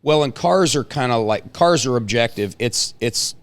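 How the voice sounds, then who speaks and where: A man's voice: mid-range voice, expressive, moderate pace. A man hosting a casual conversational interview in a chummy, encouraging manner. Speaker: host, interviewer.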